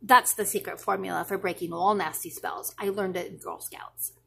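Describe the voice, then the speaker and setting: A woman's voice: lowish voice, fairly even intonation, moderate pace, gravelly, bright, forceful tone, husky, pitched below the performer's own voice, heavily acted. A woman voicing a patient, playful mother who reassures her child with thoughtful answers in a children's storybook. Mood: reassuring. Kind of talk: expressive children's storybook reading.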